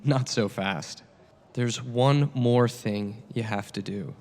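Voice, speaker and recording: A man playing a calm, patient divine teacher in a satirical dramatic reading, speaking in measured, plain questions. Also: mid-range voice, big-room sound. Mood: calm, firm.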